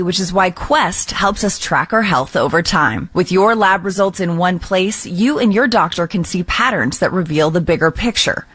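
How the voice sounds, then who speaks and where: A female announcer with a slightly low voice reads radio ad copy. The recording is dry, with no room sound. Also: a bit bright, no room sound. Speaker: commercial announcer. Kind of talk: scripted radio ad read.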